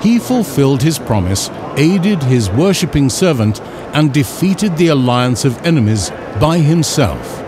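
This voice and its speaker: Male narrator with lively, varied intonation. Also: on the high side, measured pace, background noise. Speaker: narrator of an educational film. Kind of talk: scripted instructional narration.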